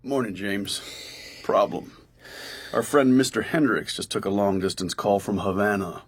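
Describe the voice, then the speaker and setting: Husky, laconic agent. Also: male voice, fairly low pitch, fairly even intonation, moderate pace. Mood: confidential, concerned. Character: wry, laconic male American agent and ally. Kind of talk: radio drama acting.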